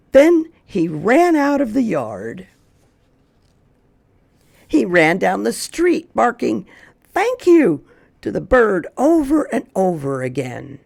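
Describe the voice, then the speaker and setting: Slow, animated storyteller with a creaky edge. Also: medium pitch. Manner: expressive, slow, drawn-out emphasis. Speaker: storyteller reading a picture book aloud. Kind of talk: animated children's read-aloud.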